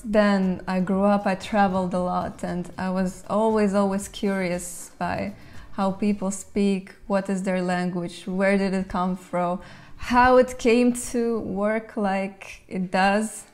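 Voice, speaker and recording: A woman's voice: mid-range voice, a little mellow. Young Latvian woman answering questions casually. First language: Russian. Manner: thoughtful, light laughs, reads out fan questions. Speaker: language channel host. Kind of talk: casual Q&A to camera. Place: bright room indoors, seated in an armchair. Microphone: lapel mic.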